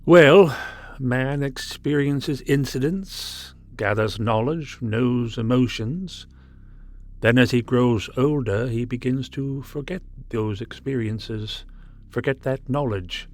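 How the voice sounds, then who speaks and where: A man acting in a 1950s radio drama as a gloomy, reflective ship's crewman who speaks plainly and deliberately. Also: mid-range voice, vocal fry.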